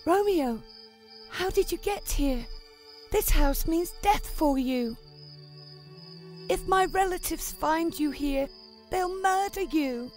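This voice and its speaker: A woman playing a lovestruck young noblewoman, tender and anxious, in yearning, deliberate storybook dialogue. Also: very high-pitched, expressive, darkish tone, faint music behind, full character voice.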